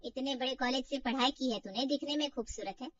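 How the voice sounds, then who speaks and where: A woman's voice: high-pitched, a little fast, distant mic, lo-fi sound, a little hiss or hum, lightly acted. A woman voicing a proud middle-aged mother in a story, persuasive and reassuring. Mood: coaxing.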